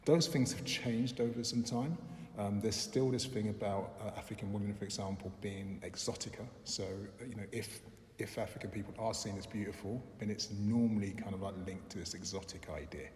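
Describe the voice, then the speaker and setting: Middle-aged man, low-pitched, thoughtful interview answers, recorded in a reverberant hall. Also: fairly even intonation, a little mellow. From London, England. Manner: thoughtful, measured, frequent "um" and restarts. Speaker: interviewee, filmmaker and lecturer. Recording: roomy echo with long reverb, faint background noise.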